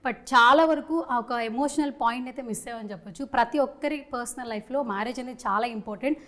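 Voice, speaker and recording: A woman's voice: fairly high pitch, fast, fairly bright tone. A woman interviewer chatting playfully and teasingly with a film team, mixing English into her talk. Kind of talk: lively celebrity chit-chat interview.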